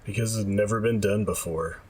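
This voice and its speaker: A man's voice: lowish voice, fairly quick, husky. A man co-hosting a retro gaming podcast, chatting in a casual, joking way. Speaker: podcast co-host. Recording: distant from the microphone.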